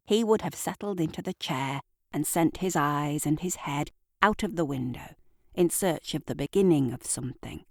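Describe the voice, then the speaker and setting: A woman giving a measured, deliberate, brooding narration of a scripted literary audio drama. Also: on the low side, a little mellow, dry recording, clean studio sound.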